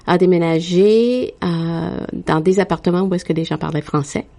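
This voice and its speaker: A woman's voice: fairly low pitch, vocal fry, dark tone. A woman in her sixties from Quebec, telling her life story as an interview guest with many hesitations. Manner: frequent hesitations with "euh", unhurried.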